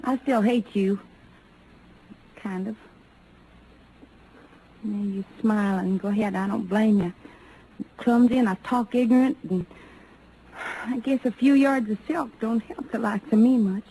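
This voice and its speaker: A woman's voice: mid-range voice, fairly even intonation, measured pace, pauses often, warm tone, close to an everyday voice, poor audio. An actress playing a prickly, proud young frontier woman with blunt rustic speech in an old-time radio Western. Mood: shy, awkward. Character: rough-edged, prickly young frontier cowgirl, shyly proud. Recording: vintage radio recording with faint noise.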